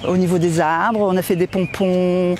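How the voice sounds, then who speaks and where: A man giving explanatory interview answers as a care home worker, in halting phrases, recorded outdoors in a garden. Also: sits high, steady tone, a little mellow. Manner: repeats 'voilà', halting phrasing. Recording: faint outdoor background noise. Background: faint outdoor ambience.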